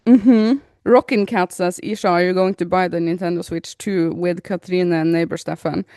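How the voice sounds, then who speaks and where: A woman's voice: fairly low pitch, steady tone, normal speed, mellow, no echo, studio quality. A woman in her thirties co-hosting a podcast, chatting casually and reading out listener comments with frequent laughter. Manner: giggly, reads comments aloud with frequent laughter. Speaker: podcast co-host.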